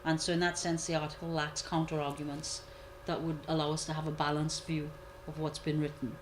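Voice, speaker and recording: A woman commentator giving a measured analysis, repeating key points for emphasis. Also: low voice, little pitch movement, noisy background. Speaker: guest commentator. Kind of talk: analytical discussion.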